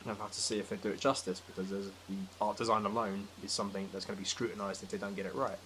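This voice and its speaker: A man's voice: on the low side, flat delivery, rapid-fire, fairly bright tone, low-quality recording. A man speaking as a podcast guest in casual, opinionated discussion, with a dry, sceptical manner. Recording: faint background noise.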